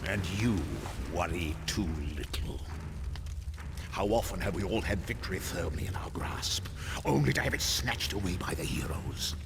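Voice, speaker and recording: A man's voice: lively intonation, normal speed. A man playing a stern, menacing supervillain mastermind in a video game, with grandiose, formal, commanding delivery. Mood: cold menace. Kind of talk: video game cutscene acting. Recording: long reverb with loud ambient rumble.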